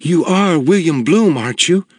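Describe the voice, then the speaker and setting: A man's voice: fairly high pitch, animated, moderate pace, slightly rough, no echo, studio quality, voice pushed up. A man reading an audiobook, voicing a small woman who pleads and sobs. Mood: imploring.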